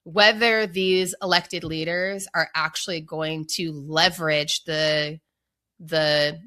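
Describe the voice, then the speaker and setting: An American woman answering as an interview guest, choosing her words carefully and deliberately in conversation. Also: low voice, steady tone, bright, forceful tone. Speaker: podcast guest, local organizer.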